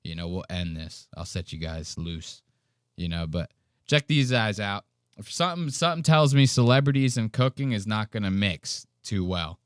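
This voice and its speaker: A man's voice: fairly low pitch, expressive, moderate pace, clear, resonant tone, no room sound, studio quality. A man hosting a live stream with casual, profane, slangy and mocking reaction commentary.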